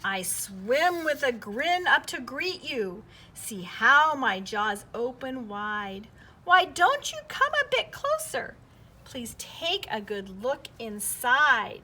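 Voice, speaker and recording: A woman's voice: sits high, very animated, slow, bright, forceful tone, heavily acted. A woman voicing a sly, grinning shark in a storybook, coaxing prey with false politeness. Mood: sly, inviting. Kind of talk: storybook character voice.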